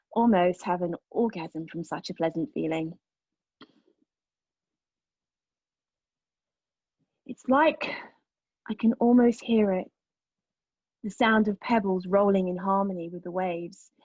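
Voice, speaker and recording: Actress as a husky, intense young woman confessing. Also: mid-range voice, a little mellow, dry recording, muffled recording. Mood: sensual, dreamy. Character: haunted young woman recounting a painful memory. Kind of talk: dramatic monologue read at a table read of a play.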